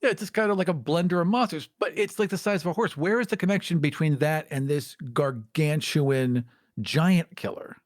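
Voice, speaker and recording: A man's voice: fairly high pitch, normal speed, no room sound, clean studio sound. A man in casual discussion as a podcast co-host, questioning and joking dryly.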